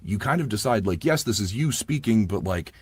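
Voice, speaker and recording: A man with a husky voice, talking informally and effusively in a casual interview as an audiobook narrator. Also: fairly low pitch, expressive, fairly quick, a little mellow, dry recording.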